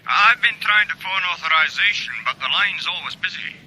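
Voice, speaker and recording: A doubtful manager in a radio play, sharp highish voice, lots of ums, lively pitch. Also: male voice, heavily acted, low-quality recording. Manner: halting, questioning, full of ums and uhs. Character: hesitant, mildly suspicious male company manager. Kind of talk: British radio drama acting.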